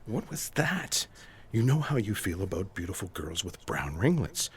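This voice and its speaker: An actor playing an earnest, eccentric intelligence agent in sci-fi audio theatre, speaking in a deliberate, formal, deadpan way. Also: male voice, low voice, slight background noise. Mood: smitten, private aside.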